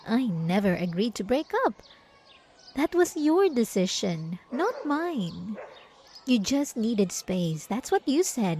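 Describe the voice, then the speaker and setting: A woman's voice: mid-range voice, darkish tone, breathy edge, full character voice. A woman playing a possessive, gaslighting ex-girlfriend in an ASMR roleplay, coy and mock-innocent with a sweet menace, speaking straight to the listener. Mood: possessive, insistent. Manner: coy, teasing, mock-innocent, drawn-out pet names.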